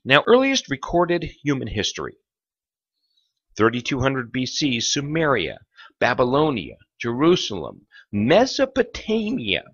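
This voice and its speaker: A man narrating a lecture in a theatrical, suspenseful documentary style, pausing for effect between phrases. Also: mid-range voice, wildly swooping pitch, a bit bright, husky, lo-fi sound. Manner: theatrical, with rhetorical questions and suspenseful pauses.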